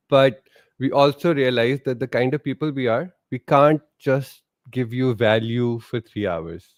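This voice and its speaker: A man's voice: mid-range voice, dry recording. A man from Pakistan, co-hosting a live stream with teasing, self-mocking humor. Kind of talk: casual live-stream chat.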